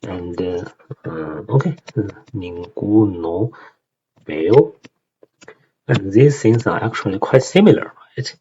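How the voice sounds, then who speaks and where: Low-voiced man with Chinese accent. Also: takes it slowly, warm tone. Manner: hesitant, many fillers and rhetorical "right?" checks. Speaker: language learner explaining song lyrics. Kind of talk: casual explanatory lesson. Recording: dull lo-fi home recording with faint hiss.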